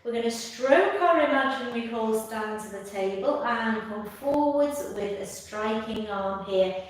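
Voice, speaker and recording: A woman instructor leads a live tai chi class, giving calm, measured step-by-step cues and repeating her phrases. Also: medium pitch. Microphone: far from the speaker. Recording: distant mic in echoey room, faint noise.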